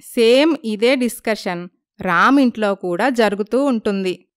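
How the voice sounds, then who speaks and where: Woman narrator, scripted moral storytelling, fairly high pitch, smooth clear voice. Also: measured pace, dry recording, clean studio sound.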